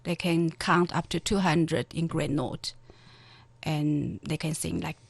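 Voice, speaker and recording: A woman from China whose first language is Hakka, speaking as a teacher guest on an interview podcast, with halting phrasing and many short fillers. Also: deep voice, steady tone, creaky, husky, no echo. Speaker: Mandarin teacher in charge at a school.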